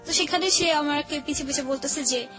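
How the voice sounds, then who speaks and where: A woman's voice: sits high, brisk. A young woman with a Dhaka Bangladeshi accent, telling a personal story informally and quoting remembered conversations. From Old Dhaka, Bangladesh. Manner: colloquial, acts out remembered conversations. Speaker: guest telling her life story. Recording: music playing under the voice.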